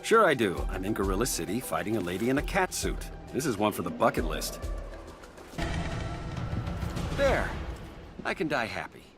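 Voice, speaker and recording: A man's voice: fairly low pitch, sing-song, brisk, over music. A man playing a cocky, wisecracking archer hero in a video game, flippant and casual. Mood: flippant, amused.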